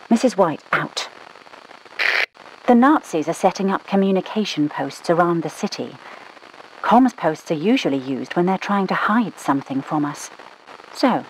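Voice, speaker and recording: A woman voicing a brisk British spymaster who gives mission orders over the radio in a dry, commanding manner, with a British accent. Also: on the low side, a little hiss or hum, lightly acted, poor audio. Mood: scolding. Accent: British English. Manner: clipped, dry, commanding, scolding. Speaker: mission controller. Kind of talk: game voice lines.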